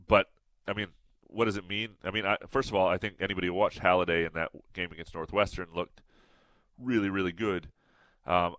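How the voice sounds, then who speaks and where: A man's voice: sits low, bright, forceful tone, no room sound. A man co-hosting a sports podcast in casual chat, a little nasal, rambling with restarts and fillers. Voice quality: slightly nasal.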